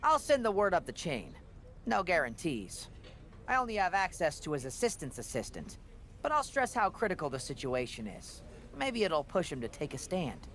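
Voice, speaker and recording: Chatty male wrestling official, high animated pitch, wordy, awkward dry humour, video game dialogue. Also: normal speed, slight background noise. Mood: earnest, wary. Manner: wordy, rambling, dryly joking.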